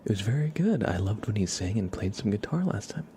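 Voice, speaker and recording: A man hosting an ASMR livestream, chatting casually in a soft, hushed voice that often slips into a whisper. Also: lowish voice, very animated, fairly quick, slight background noise. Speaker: livestream host. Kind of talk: ASMR, casual chat with viewers.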